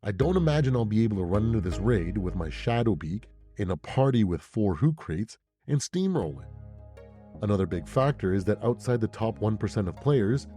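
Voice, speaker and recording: Low-voiced, mellow man hosting gaming commentary. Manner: rhetorical questions and playful asides. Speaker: gaming video creator and host. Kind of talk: casual scripted game speculation commentary. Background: background music.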